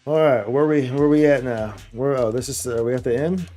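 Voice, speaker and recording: A man with a mellow, dark-toned voice streams games with faint music behind him. He comments casually on the play as it goes, in loose, slangy, bro-and-dude talk. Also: mid-range voice, brisk. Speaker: game streamer. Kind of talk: casual live gameplay commentary.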